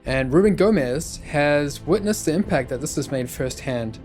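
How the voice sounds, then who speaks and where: A man's voice: on the high side, expressive, moderate pace, darkish tone, soft background music. A man hosting a podcast, reading scripted narration.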